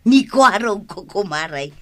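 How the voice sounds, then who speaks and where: A performer acting as a giggly, teasing young female neighbour in a radio comedy drama, with an Ilocano accent and a drawn-out delivery. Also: mid-range voice, animated, background noise, natural voice.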